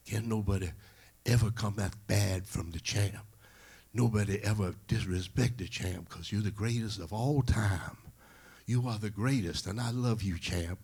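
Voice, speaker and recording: A man voicing a frail elderly fan, awed and reverent, speaking in halting repetitions. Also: lowish voice, gravelly, darkish tone, breathy edge, full character voice.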